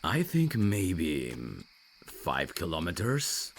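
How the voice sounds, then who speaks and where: Very deep, gravelly, reserved male vampire actor. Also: huge pitch swings, slow, slight background noise, heavily acted. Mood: reserved, matter-of-fact. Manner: dry, guarded. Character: reserved, rational male vampire messenger, over fifty years undead. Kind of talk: audio roleplay acting.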